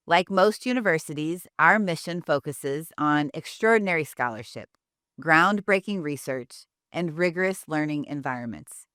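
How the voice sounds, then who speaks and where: A middle-aged woman hosting a university welcome event, speaking formally and with emphasis. Her voice sits a little low. The sound is dry, as if from a video call. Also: a bit bright, no room sound. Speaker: university admissions host. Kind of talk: welcoming speech and panel moderation.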